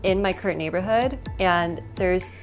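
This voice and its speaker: A woman's voice: lowish voice, moderate pace. A woman in her twenties, a renter in a news piece, speaking in a matter-of-fact way. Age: 20s. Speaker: interviewed renter. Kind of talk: interview remarks. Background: soft music.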